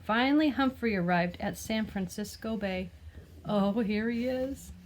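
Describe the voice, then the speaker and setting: A woman's voice: mid-range voice, a little slow, a little hiss or hum. A woman reading a children's picture book aloud expressively, like a teacher, with explanatory asides and questions to the listener. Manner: explains words and asks the listener gentle questions.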